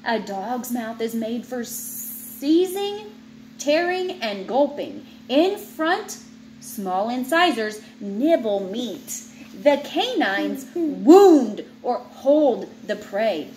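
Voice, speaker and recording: Woman in her thirties, high-pitched, expressive read-aloud to a child, unhurried, playful asides, roomy home recording with background noise. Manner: expressive, teacherly reading that breaks off into playful asides. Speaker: parent reading aloud to a child. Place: indoors in an open room at home with high ceiling.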